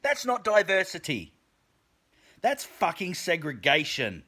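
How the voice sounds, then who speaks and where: Fiery, swearing man ranting with wide pitch swings. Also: huge pitch swings, unhurried, pushed and bright. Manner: emphatic, heavy swearing, stumbling restarts. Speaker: vlogger. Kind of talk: profane opinion rant to camera.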